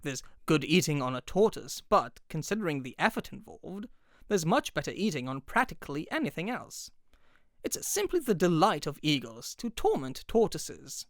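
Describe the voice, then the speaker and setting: A man narrates in an expressive storybook style. His delivery is theatrical and emphatic, rising and falling widely in pitch, and the recording is dry. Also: moderate pace, dry recording. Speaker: voice actor.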